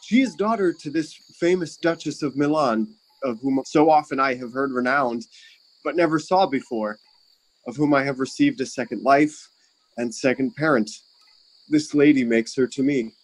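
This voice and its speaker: An actor plays a young prince deeply in love. His male voice is earnest and courtly, recorded dry, speaking Shakespearean verse for radio. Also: moderate pace, dry recording, close to an everyday voice, rough recording. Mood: earnest, proud. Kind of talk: Shakespearean radio play acting.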